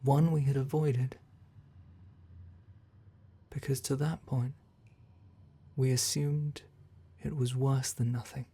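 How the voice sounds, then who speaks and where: A man voicing an ancient, immortal monster under the bed, speaking in a slow, brooding, gravelly ASMR horror roleplay monologue. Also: medium pitch, flat delivery, mellow.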